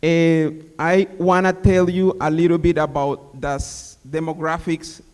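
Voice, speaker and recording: A man's voice: a little high, flat delivery, a little slow, darkish tone, smooth. A man from Honduras, giving a hesitant panel talk as a city official, with many fillers. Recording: reverberant hall.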